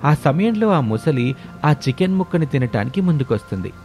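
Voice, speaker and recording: A man's voice: fairly high pitch, sing-song, normal speed, a little mellow, faint music behind. A man reading scripted narration for a story as its narrator.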